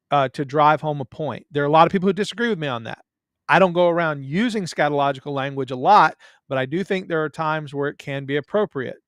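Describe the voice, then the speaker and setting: A man's voice: fairly high pitch, a bit bright, clean tone, no room sound, clean studio sound. A man with a Southern US accent, a guest in a casual chat, telling stories in a joking, self-deprecating way.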